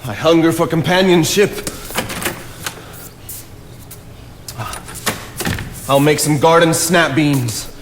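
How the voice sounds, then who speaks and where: In a noisy, reverberant theatre, a male stage actor plays a solitary nature philosopher. His higher-than-average male voice is earnest and dreamy, musing in a declamatory way as if to himself. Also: moderate pace, lightly acted. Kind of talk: theatrical stage play acting.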